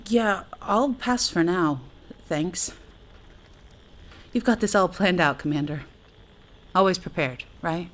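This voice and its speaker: An actress playing a wry, talkative astronaut facing doom in an audio drama, sardonic and conversational in manner. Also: female voice, fairly low pitch, slow pace, pauses often. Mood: brittle bravado. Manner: sardonic, conversational, hesitant asides. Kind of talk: naturalistic audio drama acting.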